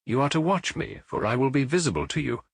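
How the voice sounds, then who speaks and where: A man voicing a brilliant, self-assured Victorian gentleman detective in an audiobook, with an English accent and a precise, authoritative way of giving instructions. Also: mid-range voice, lively intonation, fast, gravelly, husky, no room sound. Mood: commanding, precise. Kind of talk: audiobook character acting.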